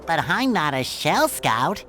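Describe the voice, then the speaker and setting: A man voicing an eager, naive boyish sea sponge in a cartoon game, squeaky, nasal and perky. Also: very animated, takes it slowly, pushed and bright, a little hiss or hum, heavily acted. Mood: polite concern. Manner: perky, earnest, over-eager. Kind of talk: cartoon game character acting.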